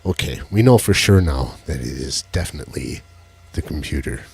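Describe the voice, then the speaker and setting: A man with a deep voice, co-hosting a casual live stream and joking as he fiddles with his tech. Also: animated, normal speed, creaky, darkish tone, breathy edge. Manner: rambling, jokey, fiddles with tech while talking. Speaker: co-host of a live stream show. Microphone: headset with headphones.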